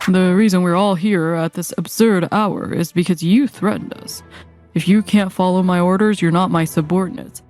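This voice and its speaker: A weary male office worker confronting his boss, voiced by a woman in a manga dub. He stays polite, measured and firm throughout. The voice sits at a middle pitch over faint music. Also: darkish tone, lightly acted.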